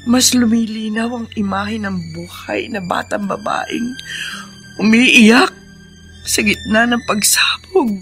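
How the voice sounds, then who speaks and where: A woman's voice: a little high, slow, fairly bright tone, soft background music. Weary, troubled older Filipino woman. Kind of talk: Filipino radio drama acting.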